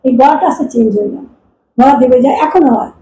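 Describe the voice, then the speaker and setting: A woman's voice: high voice, fast talker. A woman from India, telling personal stories informally in fragmented, self-interrupting sentences. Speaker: folk vocal mimicry artist being interviewed. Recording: echoey room with faint noise.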